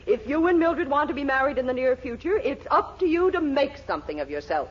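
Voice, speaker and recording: A woman's voice: sits high, sing-song, fairly quick. An American actress playing a bossy, scolding middle-aged wife and mother in a 1940s radio comedy. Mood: stern, commanding. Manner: scolding, imperious, sarcastic. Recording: dull, band-limited 1940s radio recording.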